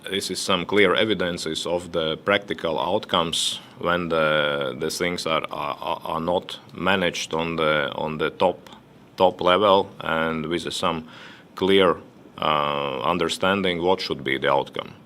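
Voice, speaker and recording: A man on a discussion panel giving his remarks, halting and often repeating words. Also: low-pitched, steady tone, bright, forceful tone, slight background noise. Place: conference hall.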